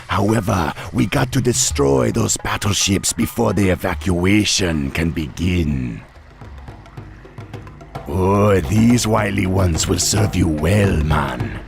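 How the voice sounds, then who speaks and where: An actor playing a wily troll tribe chieftain in a fantasy game, with a gravelly Caribbean accent and a drawling, commanding manner. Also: male voice, low voice, slow pace, heavily acted. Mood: calm, sly. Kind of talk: fantasy game character acting. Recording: music under the voice.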